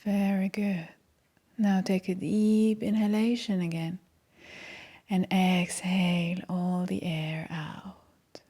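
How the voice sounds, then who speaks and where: Soft, very slow-speaking woman guiding meditation. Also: fairly low pitch. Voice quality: soft, breathy. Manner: calm, gentle, soothing. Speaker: meditation guide, yoga teacher. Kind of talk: guided meditation, soft-spoken instruction.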